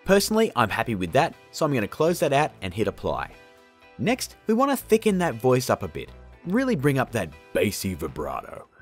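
A man in his thirties with a husky, mid-pitched voice presents a how-to video. His delivery swings widely in pitch, informal and jokey, with stress on key words. Faint music plays behind him. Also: huge pitch swings, a little fast. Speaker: video tutorial host. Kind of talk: casual software tutorial narration.

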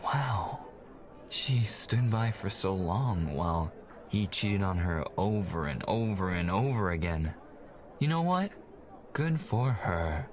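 Low-voiced teasing god, acted by a man. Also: animated, slow pace, background noise. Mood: gossipy, impressed. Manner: casual, teasing. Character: teasing, confident male god, friendly wingman. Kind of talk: audio roleplay acting.

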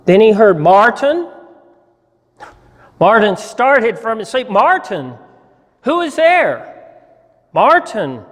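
A man in his sixties reads a story aloud with expressive, deliberate pauses. His voice sits high for a man and moves slowly. It rings with the long reverb of a church hall. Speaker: pastor giving a dramatic reading. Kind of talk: dramatic story reading to an audience. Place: church sanctuary, indoors.

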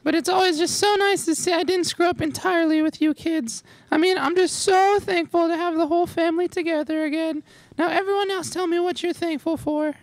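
Girl doing a fussy doting mom in a radio play, super high voice, pretty dry mic sound. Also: fairly even intonation, a little fast, dry recording, poor audio. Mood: gushing, fond. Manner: gushing, fussing, maternal. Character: doting, nosy, chatty middle-aged mother. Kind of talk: live radio comedy play. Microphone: stand microphone.

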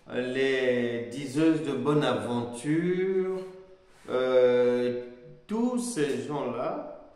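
Slow, emphatic preacher in an echoey room. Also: male voice, mid-range voice. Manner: slow, emphatic, repeats phrases, animated hand gestures. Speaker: pastor teaching a Bible course. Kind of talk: sermon-style Bible teaching. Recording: echoey room with long reverb.

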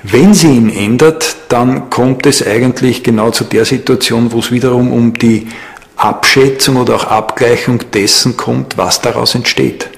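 A man explaining his view in a documentary interview, measured and formal, choosing his words carefully. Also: medium pitch, fairly even intonation, creaky. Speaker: expert interviewee.

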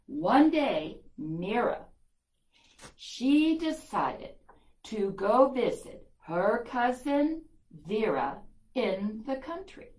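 Older woman, children's storyteller, animated pitch, deliberate emphasis, echoey classroom. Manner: deliberate, emphasising key words. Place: small classroom, indoors. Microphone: camera a few metres away.

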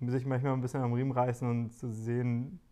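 A man in his forties talking in a relaxed interview about family life, thoughtful and full of small fillers and restarts, recorded on a lapel mic indoors. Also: mid-range voice, a little fast. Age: 40s. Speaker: interviewee, father on a shared farm. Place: bright living room indoors.

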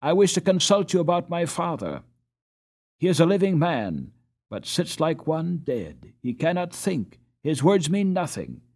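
A man's voice: high-pitched, big pitch swings, moderate pace, hardly any pauses, voice pushed up. A man voicing an earnest, proud young boy who pleads in a breathless, fervent way in a storybook.

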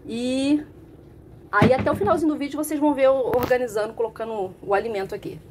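A Brazilian woman in her thirties talks through her kitchen cleaning in a relaxed voice-over. Her voice sits a little high, and she rambles, repeating words and tossing in little tag questions. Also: fast talker, background noise. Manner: chatty, repeats herself, frequent tag questions. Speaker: home organization vlogger. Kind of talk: casual voice-over narration.

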